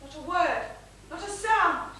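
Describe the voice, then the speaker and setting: A woman's voice: very high voice, lively intonation, unhurried, pushed and bright, full character voice. Woman acting an ardent, anguished wife, echoey stage. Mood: agitated confession. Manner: melodramatic, breathless pleading, theatrical. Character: married woman, ardent, anguished, torn by secret passion. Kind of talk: stage period comedy acting. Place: theatre stage, recorded from the audience. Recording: echoey theatre stage, distant mic, faint hiss.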